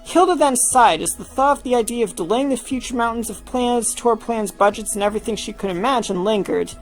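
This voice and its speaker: A woman's voice: a little high, fairly quick, soft background music. A woman reading a scripted fan fiction story aloud as its narrator.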